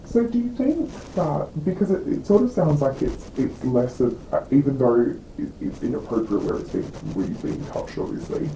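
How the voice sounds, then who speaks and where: Male HR interviewer, very dark mellow voice, calm questions, echoey room. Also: a little high, moderate pace, few pauses, slight background noise. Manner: calm, questioning, with frequent soft acknowledgements.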